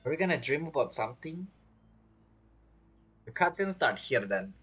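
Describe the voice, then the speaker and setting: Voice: medium pitch, big pitch swings, normal speed, fairly bright tone, slightly rough. A game streamer giving casual, informal live reaction commentary.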